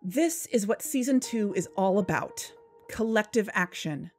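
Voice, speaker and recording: A woman's voice: mid-range voice, animated, measured pace, soft background music. An actress playing an earnest, persuasive young woman who hosts an investigative podcast in an audio drama. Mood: serious, determined. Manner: earnest, coaxing. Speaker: podcast host. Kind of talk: fiction podcast narration and phone dialogue.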